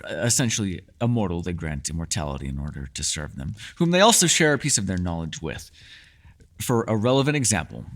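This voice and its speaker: A man's voice: fairly low pitch, a bit bright. A man playing a mysterious, learned elf in a fantasy ASMR roleplay, talking to the listener in a bookish, rambling and playfully formal way. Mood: explanatory, patient. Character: mysterious, learned male elf, talkative and gently teasing.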